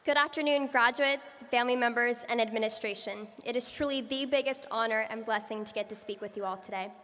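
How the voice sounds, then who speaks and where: A young woman, a student, giving a graduation speech in an earnest, measured way. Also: fairly high pitch, a bit bright. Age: young adult. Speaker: student speaker. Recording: echoey hall from far off.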